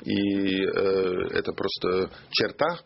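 Hesitant man, low voice. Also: fairly even intonation, vocal fry, a little hiss or hum. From Australia. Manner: hesitant, pausing to search for words. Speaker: ambassador, studio guest. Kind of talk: interview answers in a second language. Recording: muffled radio studio recording.

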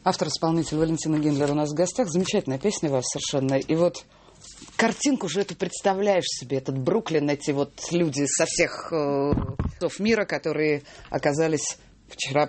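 A woman hosting a radio interview, speaking in a lively conversational way with drawn-out fillers. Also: deep voice, a little hiss or hum. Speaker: radio host.

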